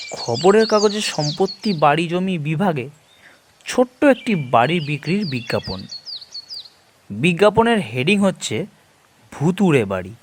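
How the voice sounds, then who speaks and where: Male horror narrator, unhurried and animated, measured dramatic phrasing, noisy recording with chirps. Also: a little high. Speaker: narrator of an audio horror story series. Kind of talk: dramatic first-person story narration in Bengali.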